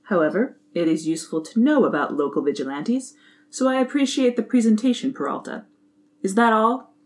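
A woman playing a stern male police captain in a formal, deliberate, deadpan way. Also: on the high side, lightly acted. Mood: formal, dismissive.

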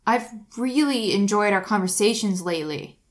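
A woman voicing a stiff, earnest young man who speaks bluntly and haltingly. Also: mid-range voice, pitched above the performer's own voice, lightly acted.

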